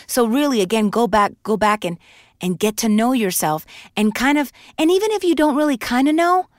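A woman in her thirties who is a voiceover artist, giving emphatic, animated advice in a casual podcast interview. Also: on the high side, a little fast, dry recording, clean studio sound. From Puerto Rico.

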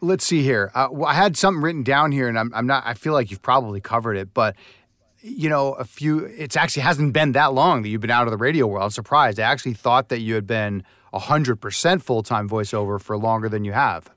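A man's voice: medium pitch, fairly quick, a bit bright, dry recording, clean studio sound. Easygoing male podcast host. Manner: easygoing, affirming, thinks aloud. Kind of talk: casual podcast interview.

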